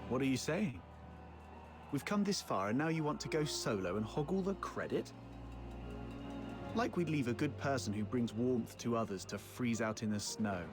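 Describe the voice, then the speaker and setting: An actor playing a brash, loyal young fox hunter in a video game, with cocky, teasing bluster. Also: male voice, mid-range voice, lively intonation, normal speed, music in the background, lightly acted. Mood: teasing indignant.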